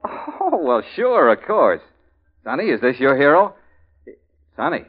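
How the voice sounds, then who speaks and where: A man's voice: medium pitch, sing-song, a little slow. A man playing an easygoing, wisecracking investigator boss in a 1940s radio drama. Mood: breezy, amused. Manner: easygoing, teasing. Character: breezy, wisecracking ex-army male investigator boss. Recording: muffled, noisy 1940s radio recording.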